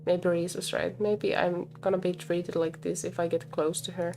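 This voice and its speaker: A woman's voice: fairly low pitch, flat delivery, moderate pace, creaky, dark tone. A woman game streamer reading a visual novel aloud expressively and breaking off to comment, with game music behind her.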